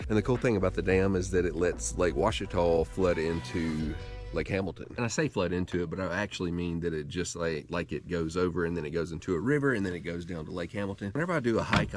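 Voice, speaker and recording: A low-voiced man in his thirties rattles off a quick, rambling vlog while out on a cold winter trail. He talks loosely and bluntly into a handheld camera, dropping "like" all the time. Music plays underneath him. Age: 30s. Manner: rambling, loose, many "like" fillers, blunt and profane. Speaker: hiking vlogger. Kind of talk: casual vlog talk to camera. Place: outdoors on a cold winter lake trail and in a parked truck. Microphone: handheld action camera.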